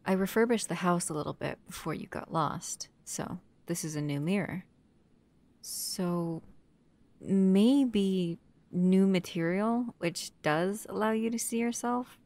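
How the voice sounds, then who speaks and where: A woman acting a protective, doting human caretaker of a vampire in an intimate, soft-spoken ASMR roleplay, often dropping toward a whisper. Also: mid-range voice, slow pace. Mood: fond, reassuring. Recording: clear background noise and ambience.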